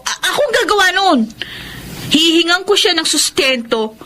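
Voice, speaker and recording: High-pitched headstrong Filipino daughter. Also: female voice, big pitch swings, moderate pace, sharp tone, slight background noise, exaggerated voice. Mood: defiant, demanding. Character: discontented, headstrong young daughter, demanding and blunt. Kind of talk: Filipino radio drama acting.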